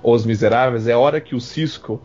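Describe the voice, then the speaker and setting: A husky Brazilian man talks very quickly in a casual panel chat. He is enthusiastic and slangy, repeating phrases for emphasis. The sound is a bit dull and lo-fi. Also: mid-range voice, darkish tone. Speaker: podcast panelist.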